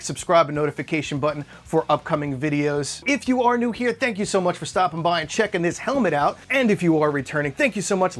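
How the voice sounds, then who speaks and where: A man hosting an unboxing video, chatting casually and enthusiastically in an unscripted product review style. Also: fairly high pitch. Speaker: collectibles unboxing video host.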